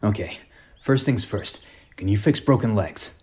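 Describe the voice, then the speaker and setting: A man's voice: fairly low pitch, expressive, measured pace, a little mellow. An actor playing a wounded, sarcastic superhero dad, a man, in a radio play. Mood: strained, wry.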